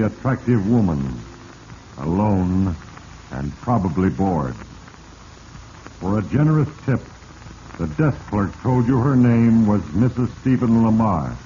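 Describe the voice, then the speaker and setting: Fate narrating a 40s radio mystery, deep dark voice, deliberate and judging, hissy old recording. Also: male voice. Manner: deliberate, accusing second-person address. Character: Fate, an omniscient, stern, accusing male spirit narrator. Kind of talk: 1940s radio drama narration. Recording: dull, noisy vintage radio recording.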